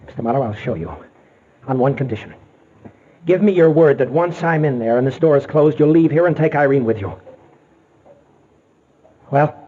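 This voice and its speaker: A man's voice: medium pitch, moderate pace, long pauses, lightly acted. An actor playing an exhausted, obsessed man who speaks formally and commandingly in 1940s radio melodrama style. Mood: weary, determined. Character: exhausted, obsessed, haunted male castle heir. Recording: dull old radio recording, faint noise.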